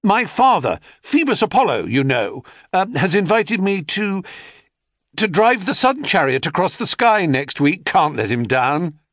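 A man voicing a proud, sulky boy, the son of a god, who talks high and defiant. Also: sing-song, unhurried, fairly bright tone, no room sound, studio quality, exaggerated voice. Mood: boastful. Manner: high-pitched, boastful, defiant.

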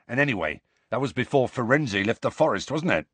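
A man voicing a huge, gruff, evasive gamekeeper with rustic colloquial speech in an audiobook. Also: mid-range voice, animated, fairly quick, fairly bright tone, no room sound. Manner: colloquial rural speech, dropped words.

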